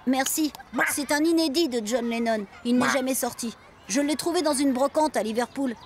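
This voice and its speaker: Woman as a high-voiced, refined precocious boy. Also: normal speed, slight background noise, lightly acted. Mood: composed. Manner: poised, courteous, knowingly refined. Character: polite, precocious, worldly boy cousin in a cartoon. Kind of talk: animated cartoon dialogue.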